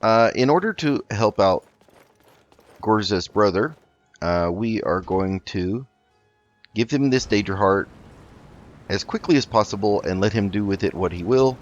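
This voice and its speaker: A man giving casual, in-character gameplay commentary, politely and hesitantly, rambling along with many pauses. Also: lowish voice, expressive, faint music behind. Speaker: game roleplay video host. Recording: dull lo-fi mic with background noise.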